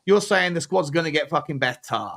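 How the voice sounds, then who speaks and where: A man's voice: fairly high pitch, lively intonation, fairly quick, vocal fry, a bit bright. A man hosting a casual football talk show, matey and blunt, often rephrasing his questions as he goes. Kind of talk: casual chat, questioning callers.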